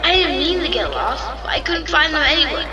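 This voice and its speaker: A woman playing a frightened, guilt-ridden young boy in an audio drama, halting and tearfully pleading. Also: high-pitched, big pitch swings, recorded from a distance, over music. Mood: anxious, remorseful.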